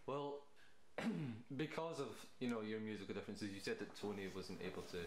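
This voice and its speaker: A young man asking interview questions in a casual, halting way with lots of ums. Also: lowish voice, expressive. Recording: echoey room, faint noise.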